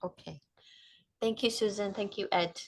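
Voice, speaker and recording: A woman moderating a video call meeting, friendly and a little halting. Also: medium pitch, fairly even intonation. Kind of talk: casual meeting chat.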